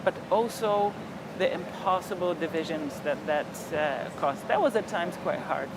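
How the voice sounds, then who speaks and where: An older man from Poland, a diplomat speaking in a television interview in a reflective, measured way with frequent hesitations. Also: sits high, background noise. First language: Polish. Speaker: ambassador.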